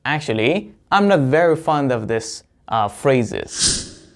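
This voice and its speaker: A man speaks as an online English teacher at a slow, measured pace. His delivery is deliberate and explanatory, laying out phrases one at a time for learners. His voice sits at the higher-middle to ordinary range for a man. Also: sing-song. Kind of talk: instructional lesson to viewers.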